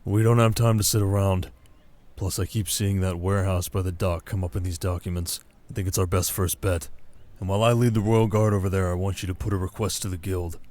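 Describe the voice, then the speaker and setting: A man playing a shrewd, wary merchant baron in an audio roleplay, speaking in a measured, dry, guarded way. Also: sits low, fairly even intonation, a little mellow, close to an everyday voice. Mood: urgent, commanding. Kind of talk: ASMR audio roleplay acting.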